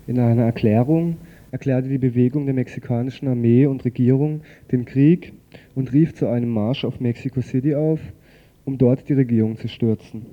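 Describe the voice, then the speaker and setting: A man's voice: medium pitch, fairly even intonation, moderate pace, muffled recording. A man reading a scripted radio news report as presenter.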